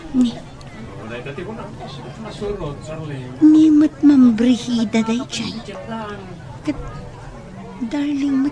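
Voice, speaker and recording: A woman's voice: on the high side, natural voice. A woman playing a dutiful, outspoken young daughter who works as a maid, speaking candidly in an audio drama. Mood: gossipy, indignant. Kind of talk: Ilocano radio-style drama.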